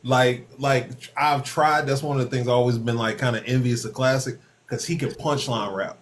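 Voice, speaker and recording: A man's voice: medium pitch, fairly even intonation, normal speed. A man in his thirties co-hosting a casual podcast chat, talking in a laid-back, self-reflective way. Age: 30s.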